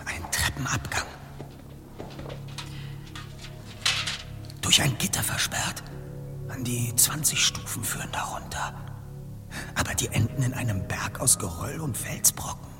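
An actor playing a clever, bossy teenage boy detective in an audio drama, with precise, articulate deductions and brisk commands. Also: mid-range voice, lively intonation, whispered, in a large hall, over music, close to an everyday voice. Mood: hushed, analytical. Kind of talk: German audio drama acting. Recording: noisy ambience behind the voice.

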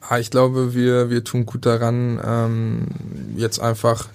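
A man in his thirties from Berlin, a handball goalkeeper, answers interview questions. His pitch barely moves and stays flat and even. He talks hesitantly, restarting phrases and filling his pauses. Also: clear, resonant tone. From Berlin, Germany. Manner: hesitant, many fillers and restarts.

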